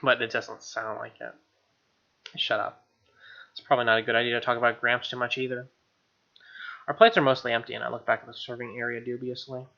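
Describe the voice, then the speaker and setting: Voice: medium pitch, level tone, a little fast, creaky, long pauses, sharp tone, highs cut off. A streamer reading a visual novel aloud and breaking off into casual, slangy, opinionated commentary. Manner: slangy, exclaiming, opinionated asides. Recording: music behind the voice.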